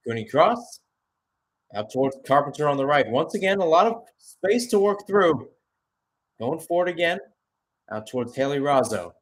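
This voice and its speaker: A man's voice: medium pitch, rough recording. Male football play-by-play commentator. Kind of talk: live radio-style sports commentary. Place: commentating remotely, not at the stadium.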